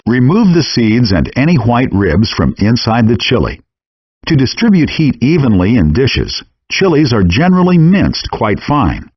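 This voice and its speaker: A man reading scripted cooking instructions in a measured, step-by-step way, as the narrator of a game. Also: breathy edge. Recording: dull, compressed low-fidelity game audio.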